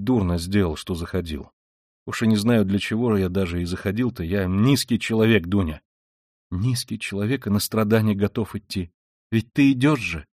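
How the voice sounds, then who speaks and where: A man reading an audiobook voices a tormented, proud and feverish young man who speaks quietly and wearily and flares into shouting rage. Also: deep voice, dry recording, clean studio sound. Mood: weary, bitter. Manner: quiet and weary, then bursts into shouting rage. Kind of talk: audiobook reading of a classic novel.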